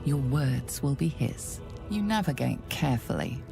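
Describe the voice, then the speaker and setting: A woman narrating a dark fantasy video game in a grave, measured, ominous storytelling manner. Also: extremely low pitch, lively intonation, gravelly, music in the background, full character voice. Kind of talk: scripted second-person game narration.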